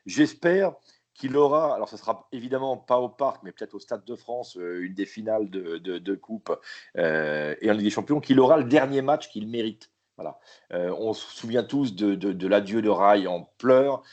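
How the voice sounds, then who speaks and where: A man guesting as a football commentator in a casual chat, retelling moments with animated, repetitive enthusiasm. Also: fairly low pitch, moderate pace. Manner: animated, repeats words as he thinks. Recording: dull lo-fi remote line.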